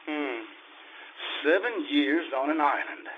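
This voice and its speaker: An actor playing a flustered, stammering husband, dithering and exasperated, in a screwball comedy radio play. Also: male voice, a little high, slow. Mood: pained, tender. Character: flustered, stammering newlywed husband turned accidental bigamist. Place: live theatre stage. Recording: muffled live stage recording with hiss.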